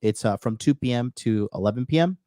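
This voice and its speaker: A man's voice: mid-range voice, a little fast, mellow, dry recording, clean studio sound. A man in his thirties hosting a casual livestream chat, talking brashly and profanely, rambling with lots of fillers and restarts. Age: 30s. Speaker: podcast host.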